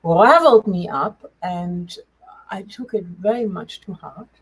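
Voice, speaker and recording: A middle-aged woman with a German accent and a slightly husky voice, telling her personal story as an interview guest in a halting, emphatic way. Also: on the low side, low-quality recording. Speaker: interview guest, change coach. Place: remote video call.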